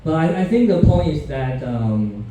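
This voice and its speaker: A man in his thirties from Taiwan giving a talk to university students, halting, with many restarts and fillers. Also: on the high side, big pitch swings, mellow, recorded from a distance, slight background noise. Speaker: guest speaker. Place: university classroom. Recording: echoey lecture room from afar.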